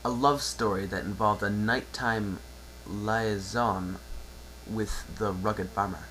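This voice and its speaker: A man's voice: fairly low pitch, fairly even intonation, slow, a bit bright, poor audio. A man narrating a story aloud as a dramatic amateur reading. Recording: faint background noise and hum.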